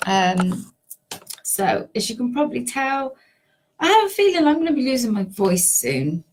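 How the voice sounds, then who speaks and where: A woman with a sore, hoarse voice, a life coach chatting casually and rambling with many ums and little laughs. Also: fairly high pitch, sing-song, moderate pace, darkish tone, slight background noise. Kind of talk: casual talk to camera.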